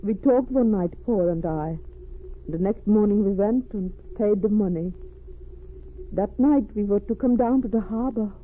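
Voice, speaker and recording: A haunted refugee wife played by a woman tells of her ordeal. Her phrasing has foreign word order, and the grief sits in every pause. It is vintage radio drama, narrow and tinny with a light layer of noise. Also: medium pitch, lightly acted. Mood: troubled. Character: distraught refugee wife haunted by a smuggler's crime. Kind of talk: old radio drama acting.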